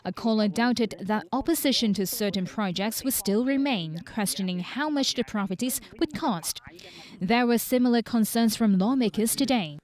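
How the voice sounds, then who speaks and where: A woman's voice: fairly high pitch, moderate pace, a little mellow. A woman narrating a scripted news package as a reporter.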